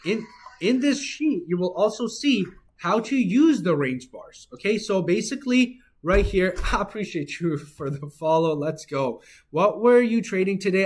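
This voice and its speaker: A man with a notably high voice hosts a livestream from an office. He talks informally, mixing a promotional pitch with replies to chat comments. His manner is hype-driven and leans on repeated catchphrases. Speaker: livestream host, trader. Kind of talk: live-stream sales pitch and chat replies.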